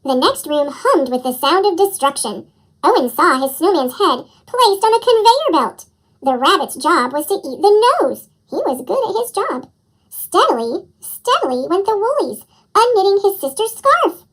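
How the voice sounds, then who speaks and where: Squeaky, very high chipmunk storybook narrator. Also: moderate pace, rough recording. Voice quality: squeaky, childlike chipmunk-style voice. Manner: animated storytelling. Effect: chipmunk voice pitch-up effect. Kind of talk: children's picture-book read-aloud.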